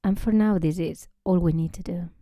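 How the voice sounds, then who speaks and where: A woman leads a guided relaxation in a slightly low, very mellow voice. She is gentle and unhurried, often easing into a breathy near-whisper. The sound is close and dry. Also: fairly even intonation, no echo, highs cut off. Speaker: yoga nidra teacher.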